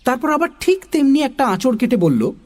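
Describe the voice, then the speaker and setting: High-pitched expressive male storyteller. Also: a little fast. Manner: expressive, acting out a puzzled child's lines. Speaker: narrator. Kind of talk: dramatised children's story narration.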